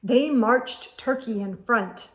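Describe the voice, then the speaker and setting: A woman reading a children's storybook aloud as host, in an expressive storytelling manner. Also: fairly high pitch, slow pace.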